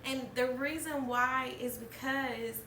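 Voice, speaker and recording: Woman in her thirties, bright and chatty, recorded in a roomy space off-mic. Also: high voice, fairly even intonation, takes it slowly, distant mic, a little hiss or hum. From Alabama, US. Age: 30s. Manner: chatty, laughs often, trailing restarts. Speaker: podcast host. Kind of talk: candid storytelling chat.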